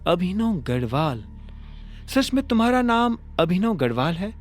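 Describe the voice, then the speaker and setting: A man voicing a strict, haughty young woman in an audio drama, with curt, deliberate delivery. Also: sits high, big pitch swings, pitched above the performer's own voice. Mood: firm, emotional.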